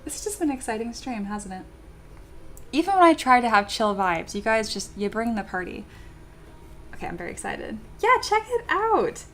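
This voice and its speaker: A young woman streaming live and chatting with viewers in a bubbly, excitable way, laughing often and reading chat messages aloud. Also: fairly high pitch, normal speed. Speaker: streamer, 3D sculptor. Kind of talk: casual livestream chat with viewers.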